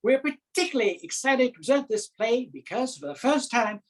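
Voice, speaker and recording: A woman performing a comic stage monologue as a self-important, earnest male drama society director, formal, with deliberate pauses. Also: on the high side, expressive, husky. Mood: proud, welcoming.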